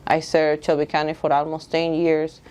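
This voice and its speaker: Low, even-voiced woman with Dominican accent. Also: moderate pace, smooth. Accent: Dominican Spanish. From Dominican Republic. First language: Spanish. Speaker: school board candidate. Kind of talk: candidate interview, semi-prepared pitch.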